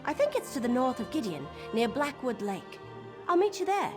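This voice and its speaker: A determined female adventurer from a fantasy game, high and animated in pitch. She is brisk, purposeful and friendly, speaking as a companion who takes the lead, with music playing behind her. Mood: focused, planning. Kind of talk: video game voice acting.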